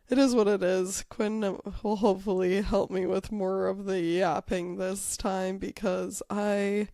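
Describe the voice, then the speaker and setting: A woman from Wyoming co-hosting a podcast in casual chat, croaky from a recent illness, chatty and self-deprecating. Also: medium pitch, warm tone, no room sound, clean studio sound. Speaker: podcast co-host.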